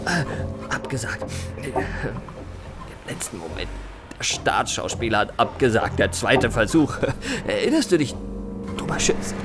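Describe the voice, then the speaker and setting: An actor playing a broken, dying man who confesses in weak, halting, slurred fragments in a radio drama. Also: medium pitch, big pitch swings, music in the background. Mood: fading, distraught. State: weak, fading.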